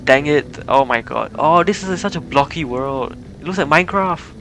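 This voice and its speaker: A man's voice: on the high side, normal speed, creaky. Rambling male game streamer. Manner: rambling, giggly. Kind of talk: casual live gameplay commentary. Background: game music.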